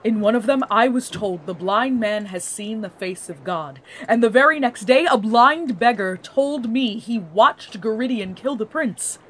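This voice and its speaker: An actress playing an earnest young scholar, speaking in formal, precise, methodical phrases for a fantasy audio drama. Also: female voice, fairly high pitch, expressive, a little slow, few pauses, poor audio. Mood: urgent. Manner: formal, precise, bookish wording.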